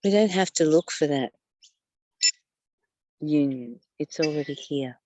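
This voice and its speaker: A woman reading a spiritual text aloud and adding her own commentary as a teacher, speaking deliberately with pauses and repeated phrases. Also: deep voice, mellow, no room sound, rough recording. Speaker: spiritual study teacher and reader.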